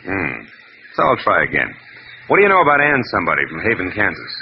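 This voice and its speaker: An actor playing a wry, tough, world-weary private detective in 1940s radio drama, sardonic and wisecracking in clipped lines. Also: male voice, low voice, huge pitch swings, quick pace, vocal fry, background noise, heavily acted. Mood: probing. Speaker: lead actor and narrator. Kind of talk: 1940s hardboiled radio narration and acting. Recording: muffled vintage radio recording.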